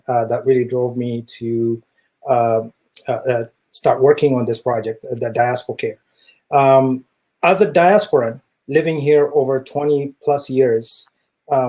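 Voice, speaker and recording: A middle-aged man with an East African accent explaining himself as an interview guest, earnest and measured, with hesitant phrasing. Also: medium pitch, dark tone. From East Africa, Ethiopia and Kenya. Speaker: interview guest, tech entrepreneur.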